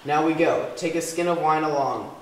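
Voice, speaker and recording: A man's voice: on the high side, normal speed, natural voice. A man playing a commanding, crafty Greek hero and sea captain in an amateur puppet show, reciting in a declamatory way. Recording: roomy, distant, noisy recording.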